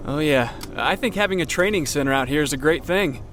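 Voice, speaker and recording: A man's voice: a little high, expressive, a little fast. A man playing a dutiful young military co-pilot, plain-spoken and deferential, in a naturalistic audio drama. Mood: friendly. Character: young male co-pilot, dutiful, soon to be father. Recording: loud aircraft engine noise.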